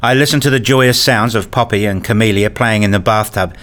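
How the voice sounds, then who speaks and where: A weary father played by a man tells his own story in a radio play. His voice is fairly low, and his delivery is measured and sombre. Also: fairly bright tone, natural voice. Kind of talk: first-person radio play narration and acting.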